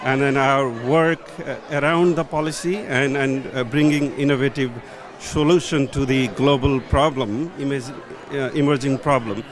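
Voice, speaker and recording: A man's voice: medium pitch, steady tone, normal speed, crowd noise. A middle-aged man from Nepal with a Nepali accent, speaking earnestly and searching for words as a conference guest. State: a little tired from travel. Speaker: conference guest, policy professional. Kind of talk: conference interview. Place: conference hall booth, indoors. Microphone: handheld mic held by interviewer.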